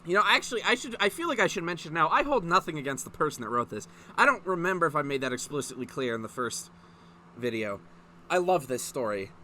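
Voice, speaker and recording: A man's voice: a little high, sing-song, quick pace, sharp tone, a little hiss or hum. A man doing a casual comedic reading, profane and mock-exasperated, prone to breaking into laughter as he reacts. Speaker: host of a comedic fan fiction reading.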